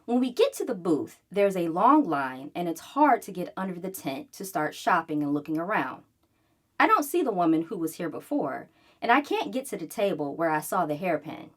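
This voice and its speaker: A woman reading a children's book aloud in an expressive, animated way, acting out the story as she goes. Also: lowish voice, moderate pace.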